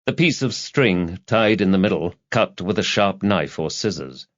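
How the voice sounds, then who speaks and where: Low-voiced male audiobook narrator, slightly muffled. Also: animated, measured pace, no echo. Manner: dramatised reading with character voices. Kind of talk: scripted narration of a detective thriller.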